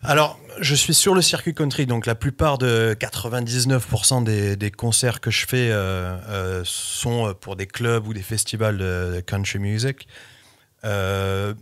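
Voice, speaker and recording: A man's voice: lowish voice, a little slow, a bit bright. A middle-aged man raised in England, English his first language, chatting in an interview as a guest singer-songwriter, with many fillers and restarts. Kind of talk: casual interview chat.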